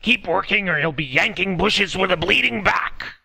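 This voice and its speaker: A narrator voicing a gruff male guard who barks orders loudly. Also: medium pitch, normal speed, pitched above the performer's own voice, heavily acted. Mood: angry. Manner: loud, barking orders.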